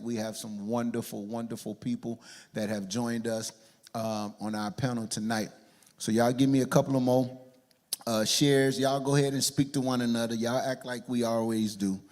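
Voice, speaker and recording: Man, church livestream host, energetic, rallying the crowd, mid-pitched. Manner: energetic, rallies viewers and studio audience. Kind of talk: hyped livestream hosting. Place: church studio with live audience.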